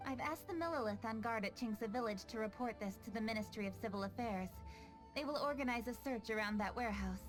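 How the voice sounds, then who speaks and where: A woman's voice: on the high side, brisk, soft background music, lightly acted, poor audio. A woman playing a young, composed, determined official in a video game, speaking in a formal, commanding way. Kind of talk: animated video game acting.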